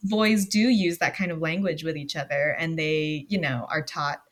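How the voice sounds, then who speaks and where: A young woman from Pennsylvania co-hosting a book club podcast, talking in a relaxed, reflective way with frequent "like". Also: deep voice, normal speed. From Pennsylvania, USA. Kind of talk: casual chat.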